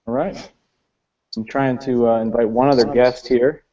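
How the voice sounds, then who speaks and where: A man's voice: mid-range voice, fairly even intonation, unhurried, mellow. A man hosting a live online panel discussion, talking loosely with frequent fillers. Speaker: host. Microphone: video call. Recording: slightly muffled video-call audio.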